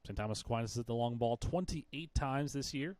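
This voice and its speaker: A man's voice: mid-range voice, a little fast, a little mellow, dry recording. Male baseball play-by-play commentator, dry sound. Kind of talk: live sports commentary.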